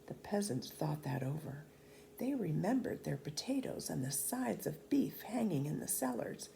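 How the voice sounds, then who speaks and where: A woman's voice: sits low, very animated, moderate pace, vocal fry, mellow, slight background noise, low-quality recording. A woman reading a children's storybook aloud as storyteller, expressively and with dramatic emphasis.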